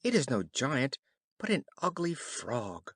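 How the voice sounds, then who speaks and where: A man voicing a spoiled, disdainful young princess in a storybook, with expressive phrasing. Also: medium pitch, takes it slowly, husky, no echo, clean studio sound, heavily acted. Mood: anxious.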